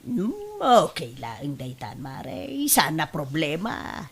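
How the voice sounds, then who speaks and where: A woman's voice: low voice, huge pitch swings, slow pace, slightly rough, heavily acted. A woman playing a bossy, chatty, house-proud older mother in an Ilocano-accented radio comedy drama. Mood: chatty, relaxed.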